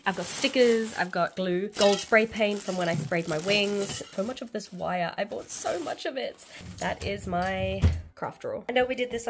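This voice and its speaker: A woman vlogging at home in a casual, bubbly, chatty way, still sick with a cough. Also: medium pitch. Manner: bubbly, chatty, laughs often. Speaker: craft vlogger. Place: home, spare craft bedroom.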